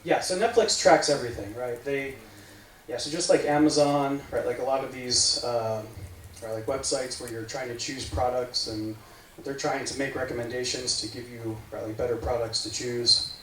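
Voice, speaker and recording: A man's voice: mid-range voice, fairly even intonation, moderate pace, fairly bright tone. Man rambling with "right, like" in an echoey room. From United States. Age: 40s. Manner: rambling, filler-heavy, says "right, like" often. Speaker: meetup speaker. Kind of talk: casual tech talk. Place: meeting room. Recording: echoey room from far off, faint noise.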